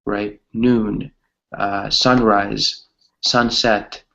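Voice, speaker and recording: A man's voice: fairly low pitch, little pitch movement, drawn-out words, creaky, bright, forceful tone, muffled recording. A man teaching an English grammar lesson conversationally, encouraging his students and often checking in with "right?".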